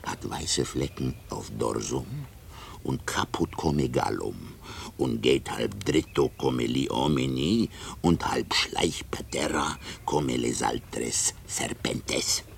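Give a radio-play monk, ugly, crude and furtive, with an extremely deep, creaking voice. He babbles in a broken jumble of tongues, slowly, slipping into secretive whispers. There is clear noise behind him. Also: heavily acted. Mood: secretive, ominous. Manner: babbling in broken mixed languages, dropping into whispers. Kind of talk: radio drama acting.

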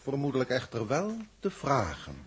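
A formal alien examiner, husky, swooping pitch. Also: male voice, huge pitch swings, heavily acted. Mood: solemn. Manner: stilted, formal, deliberate wording. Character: alien Proctor, formal, learned, aloof male galactic examiner. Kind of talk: 1960s science fiction radio play acting.

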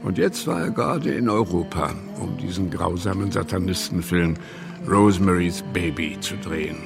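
Stern investigator, deep creaky voice, case testimony, radio play. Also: male voice, moderate pace, over music. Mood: grim, stern. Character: stern male homicide investigator recounting a case.

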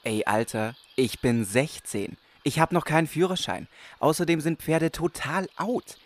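A man's voice: a little high, unhurried, lightly acted. A man playing an earnest but cheeky sixteen-year-old aspiring warrior in a comedic fantasy audio play. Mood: cheeky, indignant. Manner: earnest storytelling, slangy when challenged.

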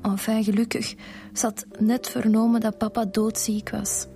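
A woman's voice: fairly high pitch, level tone, normal speed, warm tone, soft background music, lightly acted. A young woman with a Flemish accent playing a weary, protective student daughter in a radio drama, hesitant and subdued. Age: young adult.

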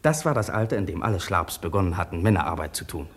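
Low-voiced farmer's son remembering home. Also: male voice, sing-song, fast talker, full character voice. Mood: reflective. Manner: sober, reflective recounting. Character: farmer's son recalling his lost home village. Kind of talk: first-person radio drama narration.

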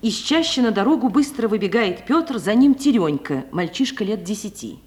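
A woman's voice: mid-range voice, sing-song, normal speed, darkish tone. A woman narrating scripted stage directions for a radio play. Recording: hissy 1950s radio recording.

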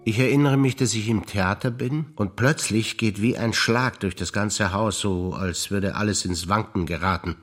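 A male stage actor reciting personal memories in a measured theatre performance, over music. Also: on the low side. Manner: measured, alternating lines of remembrance.

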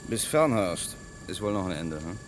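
An actor playing a polite, persistent lawyer in an old radio crime play, talking in a measured, dry way. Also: male voice, deep voice, sing-song, noisy background. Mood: puzzled. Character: puzzled male lawyer, middle-aged, polite, persistent. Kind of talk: 1950s-80s radio drama acting.

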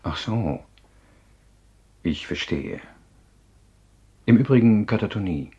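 A man playing a self-assured psychiatrist in a radio drama, explaining in a measured, courteous, husky lecture. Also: lowish voice, animated, dark tone, muffled recording, heavily acted. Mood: assured, explanatory. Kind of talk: German radio drama acting.

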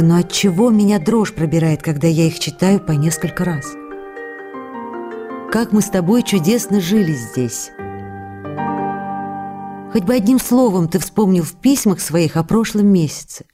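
A woman's voice: medium pitch, close to an everyday voice. An actress playing a loving, hurt and anxious wife, reading her letters in an intimate, pleading way for radio drama. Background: faint music.

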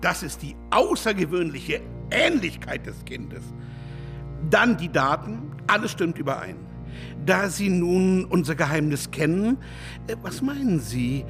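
An actor playing a scheming, falsely deferential creditor in a radio drama, formal and hesitantly insinuating. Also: male voice, high voice, very animated, a little slow, a bit bright, soft background music, exaggerated voice. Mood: pressing, eager.

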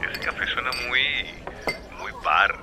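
An actor playing a smooth-talking, lovestruck man with a Colombian accent from Bogotá, flirting intimately in a radio drama. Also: mid-range voice, expressive, a little slow, noisy background, lightly acted. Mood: flirtatious, smitten. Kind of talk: radio drama acting, intimate phone conversation.

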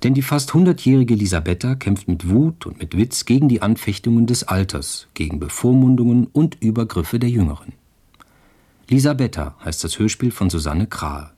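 Dark, husky male radio announcer. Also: lowish voice, lively intonation, moderate pace. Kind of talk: scripted radio drama introduction.

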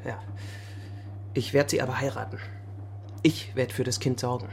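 Casting: actor as timid young man, radio play, gravelly medium-pitched voice, halting, earnest, defensive, faint hum. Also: fairly even intonation, lightly acted. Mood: earnest, agitated. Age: young adult. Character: soft, nervous young male student, earnest expectant father. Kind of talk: radio drama dialogue.

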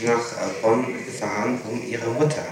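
A man's voice: mid-range voice, steady tone, moderate pace, crowd noise. Male interrogator in a very echoey room. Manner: probing, short pointed questions. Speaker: police interrogator. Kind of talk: formal questioning. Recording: very echoey archival tape, loud background voices.

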